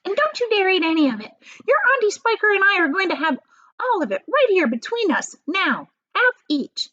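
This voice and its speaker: A woman doing a bossy, greedy aunt, high-pitched. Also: very animated, normal speed, pushed and bright, lo-fi sound, full character voice. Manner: exclamatory, demanding, scoffing.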